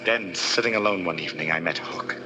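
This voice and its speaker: An actor playing an obsessive, anguished concert pianist in 1940s radio horror, with a melodramatic, halting, intense delivery. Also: male voice, deep voice, over music, lightly acted. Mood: brooding narration. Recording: noisy old radio drama recording.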